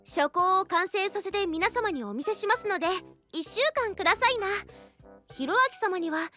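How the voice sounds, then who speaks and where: A young anime princess with an extremely high voice and courtly, brisk manners. She sounds politely scheming, as in an audio drama, with faint music behind her. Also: expressive, sharp tone, heavily acted. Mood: polite, eager. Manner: very formal, courtly, playfully brisk. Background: faint background music.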